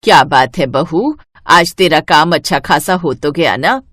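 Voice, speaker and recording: Woman voicing a low-pitched, caring elderly mother-in-law. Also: expressive, unhurried, fairly bright tone, dry recording, full character voice. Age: older. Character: anxious, stubborn, caring elderly mother-in-law food hawker.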